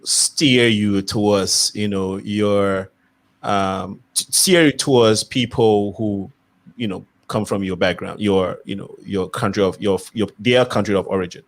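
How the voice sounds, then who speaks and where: A man hosting a panel discussion, putting questions to guests and laughing easily. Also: lowish voice. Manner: laughs easily, short affirmations. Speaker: host.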